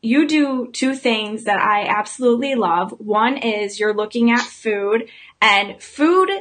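A woman's voice: on the high side, slow pace, fairly bright tone. A woman hosting a podcast interview, eager and affirming, stressing words as she talks. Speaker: podcast host. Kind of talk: casual interview chat.

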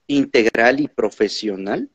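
A man with a Mexican accent hosting a podcast interview in a casual, animated way, often repeating his words. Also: mid-range voice, measured pace, husky, dry recording. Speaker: podcast host. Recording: dull lo-fi sound.

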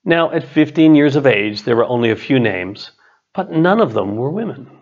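A middle-aged man, an author and coach, reads his own written text as scripted narration in a measured, deliberate way. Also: medium pitch, sing-song, muffled recording. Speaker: author, business and leadership coach.

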